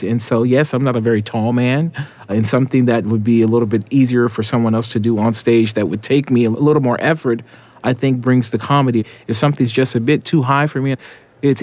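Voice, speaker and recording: A man's voice: mid-range voice, darkish tone, no echo. A man, an opera tenor, giving reflective interview answers with small restarts.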